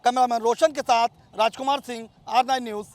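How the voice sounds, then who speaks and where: A male field correspondent delivers a television news report. His voice sits very high for a man, and he speaks in on-location reporting style. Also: steady tone, brisk, no room sound.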